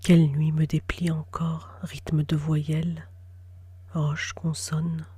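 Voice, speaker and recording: Woman, whispered poetry, breathy, flat intonation, dry recording. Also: sits low, slow pace, dry recording. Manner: whispered, intimate delivery. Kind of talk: whispered spoken-word poetry.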